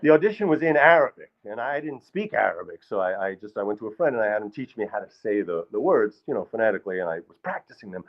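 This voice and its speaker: Middle-aged male storyteller, self-deprecating and meandering, low-ish voice, muffled live recording. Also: sing-song, fast, hardly any pauses. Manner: rambling, self-deprecating, many restarts. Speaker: storyteller performer. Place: small hall with live audience.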